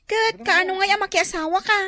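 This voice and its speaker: A woman's voice: very high voice, fairly even intonation, a little fast, bright, forceful tone. A woman playing a chatty, nosy married woman catching up at a reunion in a comedy radio drama. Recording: noisy crowd behind.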